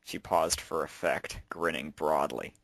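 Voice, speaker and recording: Guy narrating a story, really low voice, dry close sound. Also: unhurried, a bit bright, dry recording. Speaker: narrator and fan fiction author.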